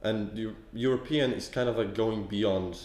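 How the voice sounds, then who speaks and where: Man from Poland raised in England, very low voice, halting with repeats, roomy echo. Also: steady tone, darkish tone. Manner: hesitant, repeats words, filler-heavy. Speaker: event participant. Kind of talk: casual on-camera interview.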